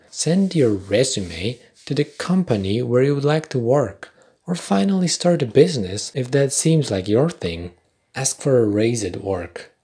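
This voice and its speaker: A young man from Poland with a Polish accent, hosting a podcast in a measured, scripted reflective style with dry understated humour. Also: medium pitch, a little mellow, breathy edge. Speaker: podcast host. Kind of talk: scripted reflective narration with commentary.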